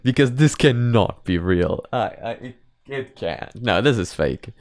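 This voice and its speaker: A man's voice: mid-range voice, lively intonation, unhurried, a little mellow, no echo, studio quality. A man hosting a reaction video, talking in a casual, rambling, conversational way.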